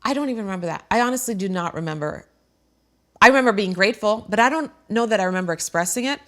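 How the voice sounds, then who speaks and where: A middle-aged woman talks candidly to her viewers as a show host. Her voice has an ordinary, mid-height pitch. She sounds earnest and emotional, with plenty of fillers and restarts. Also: animated, quick pace, bright, forceful tone. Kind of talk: candid personal talk to viewers.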